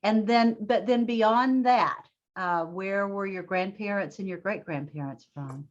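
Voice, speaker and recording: A woman's voice: mid-range voice, unhurried, highs cut off. A woman interviewing a guest for an oral history project, encouraging him with short affirmations and follow-up questions.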